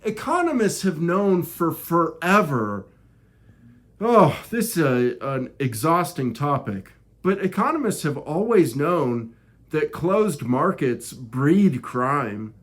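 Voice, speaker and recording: High-pitched, slow-talking man preaching opinions. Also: very animated. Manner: halting, preachy, repeats and rephrases phrases. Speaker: video creator. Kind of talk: informal opinion monologue.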